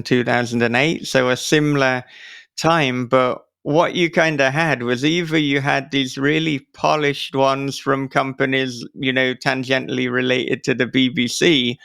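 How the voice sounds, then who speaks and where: Man with a gravelly, creaky voice. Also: medium pitch, fairly bright tone, no room sound, studio quality. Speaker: podcast host. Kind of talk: casual interview chat.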